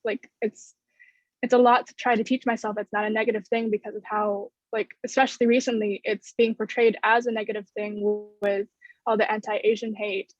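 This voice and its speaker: A woman's voice: medium pitch, little pitch movement, a little fast, no room sound. A young woman from the United States giving a reflective personal answer as a student panelist on a video call. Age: young adult. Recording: dull, lo-fi video call audio.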